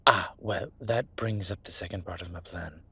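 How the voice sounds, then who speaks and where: A man playing a suave, sentimental vampire in a scripted audio roleplay, speaking in measured, wry, formal phrases with a husky, breathy voice. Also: low voice, sharp tone, full character voice. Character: suave, sentimental male vampire, tired and scheming. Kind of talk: scripted intimate audio roleplay, one side of a conversation.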